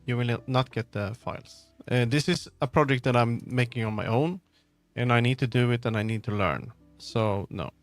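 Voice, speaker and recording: A man chatting casually on a livestream as he works, often halting and muttering to himself. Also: mid-range voice. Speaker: livestreamer making a game. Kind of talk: casual livestream chat while working. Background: background music.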